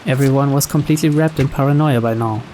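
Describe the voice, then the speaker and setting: A man narrates a visual novel in a medium-pitched voice. He reads measuredly as a storyteller, with faint noise and rain-like ambience behind him. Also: fast, mellow.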